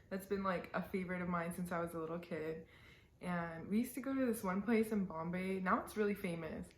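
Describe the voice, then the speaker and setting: A woman's voice: on the low side, slight background noise. A woman in her twenties chatting casually to camera in a Q&A, giggly and full of fillers. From born in the UAE, raised in Canada. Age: 20s. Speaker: vlogger. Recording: roomy echo.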